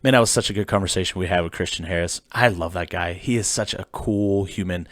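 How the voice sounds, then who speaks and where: A man's voice: deep voice, fast talker, fairly bright tone, no room sound, clean studio sound. A man from central Pennsylvania hosting a podcast, upbeat and friendly, chatting casually with lots of fillers. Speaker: podcast host. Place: studio.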